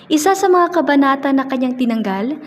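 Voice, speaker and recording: A woman's voice: high voice, animated, brisk. A woman with a Filipino accent reading scripted narration and announcements for a radio drama. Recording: reverberant recording with music behind.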